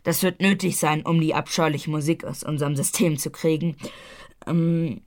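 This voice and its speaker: Earnest, low-pitched twelve-year-old boy acted by a woman. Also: steady tone, moderate pace, a little mellow, close to an everyday voice. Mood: earnest, insistent. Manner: formal, matter-of-fact, insistent. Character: earnest, precocious twelve-year-old grandson, stubborn and formal. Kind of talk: German radio drama acting.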